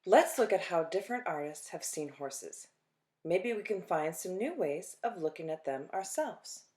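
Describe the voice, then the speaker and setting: A woman reading a children's picture book aloud expressively, phrasing deliberately with dramatic pauses. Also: lowish voice, animated, a little mellow. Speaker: children's storytime reader.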